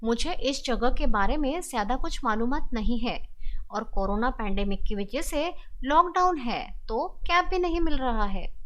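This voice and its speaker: A woman's voice: sits high, fairly bright tone. A woman storyteller voicing a polite, pleading, mysterious young woman hitchhiker in a horror story.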